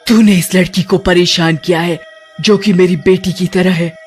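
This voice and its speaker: A woman's voice: on the low side, fairly even intonation, lightly acted. A woman voicing a cackling witch in a horror storybook tale, teasing and protective but menacing to bullies, over music. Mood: menacing, gloating. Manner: gloating, drawn-out threats, frequent laughing.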